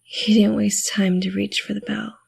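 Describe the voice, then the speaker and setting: A woman playing a guarded, wry teenage girl from the streets in an audio drama, with a husky, breathy voice. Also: medium pitch, level tone, moderate pace, a little mellow, a little hiss or hum. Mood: somber, confiding.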